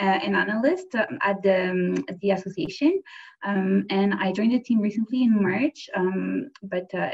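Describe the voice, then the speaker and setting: A woman introduces herself as an analyst on a video call, speaking politely and a little tentatively. Her voice is somewhat breathy, and the audio has a dull, lo-fi quality. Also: medium pitch.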